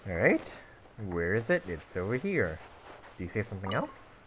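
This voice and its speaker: A man's voice: fairly low pitch, very animated, normal speed, noisy background. A man playing a game story quest and chatting casually, muttering and reading game text aloud with little stumbles. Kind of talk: casual gameplay commentary. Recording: loud game music behind.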